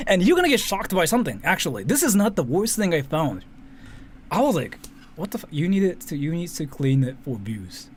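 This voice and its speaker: A man's voice: on the high side, breathy edge. A man giving casual, rambling, sweary reaction commentary, often repeating himself. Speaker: commentary streamer. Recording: faint background noise.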